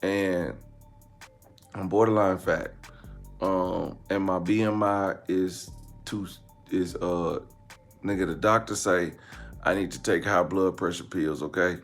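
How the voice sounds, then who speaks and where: A man's voice: deep voice, steady tone, slow pace, pauses often, soft background music. An American man giving casual comedic commentary, slangy and blunt, repeating phrases for emphasis. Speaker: online commentary host.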